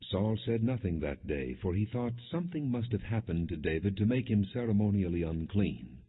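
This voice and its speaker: A man narrating scripture as an audiobook, reading the story and its quoted dialogue in a measured, expressive way. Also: lowish voice, husky. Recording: muffled narrowband, phone-like audio.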